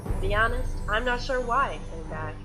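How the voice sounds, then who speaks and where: A woman's voice: fairly high pitch, lively intonation, moderate pace, music in the background, natural voice. A woman playing a weary, guilt-ridden warrior and reluctant champion, telling her story earnestly in a fan-made fantasy drama. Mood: reflective. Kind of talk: dramatic dialogue in a fan-made motion comic.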